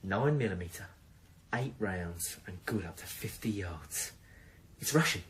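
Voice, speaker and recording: An actor playing a boastful, jumpy small-time criminal who talks himself up in a radio thriller. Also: male voice, low voice, lively intonation, unhurried, a little hiss or hum, poor audio. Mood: cocky, menacing. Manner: boastful, speaks of himself by name.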